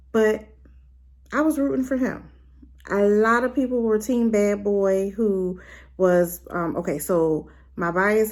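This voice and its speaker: A woman casually reviewing a drama in a chatty, rambling way, full of fillers and asides. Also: mid-range voice. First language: not Korean. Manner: animated, with rhetorical questions.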